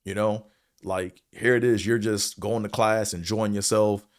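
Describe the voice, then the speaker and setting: A man with a deep voice and a slight stutter, giving a blunt, profane, rambling opinion monologue as a show host, full of fillers. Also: fairly even intonation, measured pace. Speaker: show host reviewing a series.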